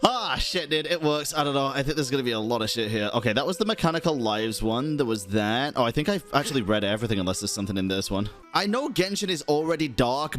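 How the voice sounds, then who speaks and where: A man reacting casually as he streams a game, slangy, expressive and quick to swear. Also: on the high side. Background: faint game music.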